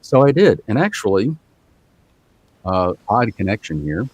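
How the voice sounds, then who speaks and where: Male voice actor as podcast guest, low-pitched, rambling anecdotes, lots of ums. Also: very animated, measured pace, breathy edge, no echo. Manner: rambling, anecdotal, many fillers. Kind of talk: casual podcast storytelling.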